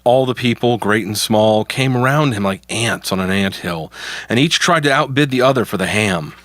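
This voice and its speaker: A man's voice: on the low side, moderate pace, fairly bright tone, dry recording. A man narrating a folktale from a script as the storyteller.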